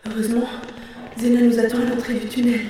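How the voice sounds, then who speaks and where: A woman's voice: fairly high pitch, level tone, fast talker, warm tone, slight background noise, close to an everyday voice. A woman as a heroine in cavernous echo. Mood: relieved, urgent. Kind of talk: French audio drama dialogue in a cave scene. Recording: distant, very echoey cavern reverb.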